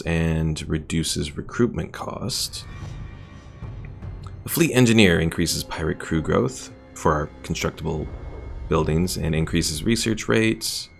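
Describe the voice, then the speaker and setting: Low, gravelly man streaming a game. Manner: thinks aloud, reads out game stats and chat comments with many fillers. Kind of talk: casual live-stream gameplay commentary.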